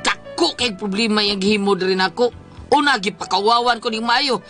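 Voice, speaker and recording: A man's voice: sits high, normal speed, bright, forceful tone, faint music behind. A man playing a distressed, suspicious husband in a melodramatic comic radio drama, exclaiming and complaining with a Cebuano accent. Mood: aggrieved, pleading.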